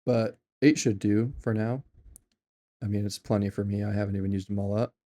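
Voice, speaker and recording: A man talking through a software tutorial in an offhand, rambling way, with many ums and self-corrections. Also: lowish voice, fairly even intonation, fairly quick. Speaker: tutorial maker. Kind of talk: casual screen-recorded software tutorial.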